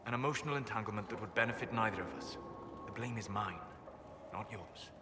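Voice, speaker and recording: A man's voice: lowish voice, background noise, close to an everyday voice. A man voicing a reserved elven mage. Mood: cold, restrained. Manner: calm, measured, soft-spoken. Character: aloof elven male mage, scholarly and guarded. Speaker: video game character. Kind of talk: game cutscene dialogue.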